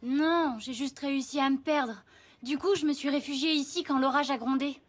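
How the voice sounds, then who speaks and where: A woman's voice: sits high, normal speed, natural voice. A woman voicing a sulky, homesick city girl in a cartoon, youthful and dramatic. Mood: upset. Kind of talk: French cartoon dub acting.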